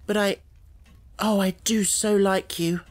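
A timid, adoring little schoolgirl in a storybook, played by a male reader. The voice is pushed up high, plain-spoken and earnest. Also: steady tone, takes it slowly. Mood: shy, pleading. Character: shy, adoring, slow-witted little schoolgirl.

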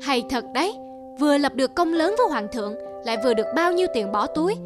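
A woman's voice: high voice, lively intonation, fast, over music. A woman voicing an envious, insinuating male court official in a cartoon folktale. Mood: sneering. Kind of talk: historical cartoon folktale dub.

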